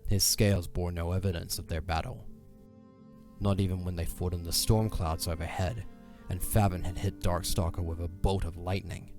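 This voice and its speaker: A man narrating a fantasy audio drama in a solemn, measured, dramatic storytelling style. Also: deep voice.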